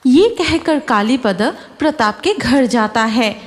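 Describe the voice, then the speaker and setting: A woman's voice: on the high side, lively intonation, slow pace. A woman narrating a scripted horror story as the storyteller. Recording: music behind the voice.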